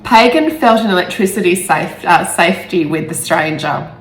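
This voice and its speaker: A woman author speaking in a halting, emotional way, stumbling over words now and then. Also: on the low side, expressive. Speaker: author reading own novel aloud. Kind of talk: amateur storybook reading with personal asides. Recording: roomy echo with faint music.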